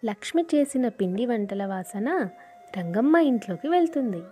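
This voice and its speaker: Mellow, higher-pitched woman storyteller. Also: expressive, normal speed, faint music behind. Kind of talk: scripted narration of a cartoon moral story.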